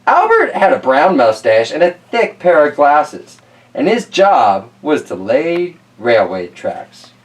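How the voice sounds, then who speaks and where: A man's voice: fairly high pitch, huge pitch swings, slow pace. A man hosting a casual, chatty read-aloud of picture books, playful and folksy, with rambling asides. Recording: roomy distant mic with slight noise.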